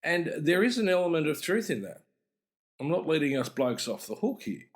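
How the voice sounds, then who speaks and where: A middle-aged man hosts an explanatory talk on psychology, speaking deliberately and with emphasis. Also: on the high side, sing-song. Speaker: podcast host and mental health speaker.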